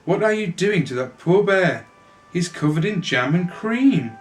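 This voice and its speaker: A man's voice: high voice, animated, unhurried, soft background music, pitched above the performer's own voice. A man voicing a kindly, decisive married woman in a children's storybook, concerned and ready to take charge. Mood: concerned, exclaiming. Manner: exclaiming, concerned, takes charge.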